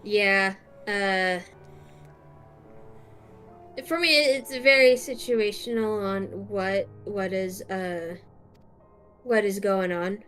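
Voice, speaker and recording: A woman's voice: medium pitch, fairly even intonation, extremely slow, long pauses, fairly bright tone, faint music behind. A young woman streaming a game, chatting casually with lots of fillers and restarts. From United States. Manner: hesitant, many fillers and restarts. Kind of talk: casual chat.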